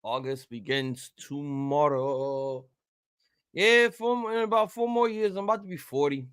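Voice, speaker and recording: A man's voice: on the high side, unhurried, clean tone, no room sound, studio quality. Profane rambling man in his thirties. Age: 30s. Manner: rambling, profane, with loud excited outbursts. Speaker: live-stream host. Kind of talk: casual live reaction commentary. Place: home room at a table.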